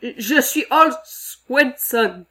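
A woman's voice: on the high side, normal speed, a bit bright, voice pushed up. A woman voicing an anxious, earnest male lumberjack foreman in a read-aloud storybook, with a raised, eager voice. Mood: nervous.

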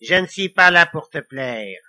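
A man's voice: high-pitched, a little slow, pushed and bright, full character voice. A man voicing a mischievous, boastful ancient little devil in a children's story, with a sneering, theatrical manner. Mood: irritated, snapping. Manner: sneering, theatrical, cackling taunts. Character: mischievous, boastful five-thousand-year-old little devil, male.

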